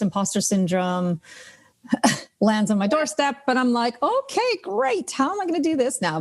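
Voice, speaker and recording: A woman's voice: medium pitch, creaky, a little mellow. A woman from the United States whose first language is Ukrainian, talking as a guest author over a video call in a chatty way, with many ums and restarts. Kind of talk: casual interview storytelling.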